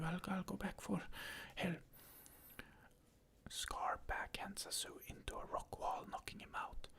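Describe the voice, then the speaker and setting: A narrator softly whispering a scene-by-scene film retelling in an ASMR style. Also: very high voice. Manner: soft unvoiced whisper, describing scenes.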